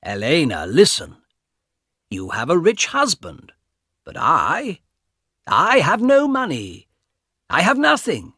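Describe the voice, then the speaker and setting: A man voicing a greedy young man who talks in a slow, taunting way. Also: a little high, wildly swooping pitch, pushed and bright, slightly rough, dry recording, studio quality, pitched above the performer's own voice, full character voice. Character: greedy, mocking young man, cold and smug.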